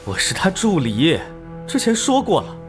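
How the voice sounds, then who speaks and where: A brazen, mercenary woman assistant from an animated crime drama. She is sassy and mocking, with a drawling, gossipy delivery that swings widely in pitch. Soft music plays behind her. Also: normal speed. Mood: annoyed. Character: brazen, flirtatious, sharp-tongued woman assistant with mercenary streak.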